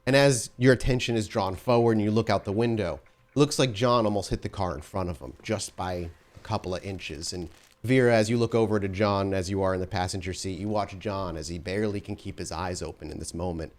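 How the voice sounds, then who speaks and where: Storyteller, male, low-pitched, relaxed and explanatory. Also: fairly quick. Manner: relaxed and explanatory, with frequent fillers. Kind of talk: narrating a tabletop horror game.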